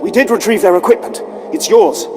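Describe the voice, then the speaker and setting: A man's voice: high voice, a little fast, over music, close to an everyday voice. A man playing a nervous, eager-to-please young ensign in a video game, anxious and deferential. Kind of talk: scripted video game acting. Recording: long reverberant tail.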